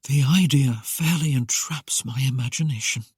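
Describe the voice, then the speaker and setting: A narrator lightly voicing a roguish young man who teases with mock innocence. The voice is a little husky and sits in a mid pitch, read close and dry. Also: moderate pace, a little mellow, no echo. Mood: teasing. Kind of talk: audiobook character voice.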